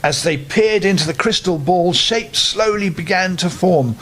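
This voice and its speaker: High-voiced older man telling bedtime stories. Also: unhurried. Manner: expressive, addressing young listeners directly. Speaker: storyteller reading to children. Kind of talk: children's bedtime story narration. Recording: clear background noise.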